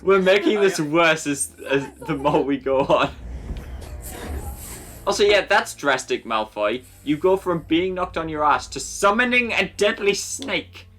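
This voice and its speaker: A man's voice: on the high side, expressive, a little slow, fairly bright tone, soft background music. A man in jokey, sweary banter over a film, often exclaiming in mock outrage. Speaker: host of a comedy film commentary show.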